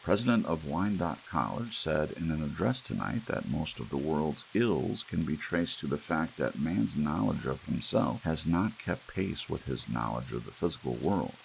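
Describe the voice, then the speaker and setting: A man voicing a formal, measured television news commentator in an audiobook. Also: very deep voice, animated, creaky, heavily acted, rough recording. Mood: formal, announcing. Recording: rain and hiss behind.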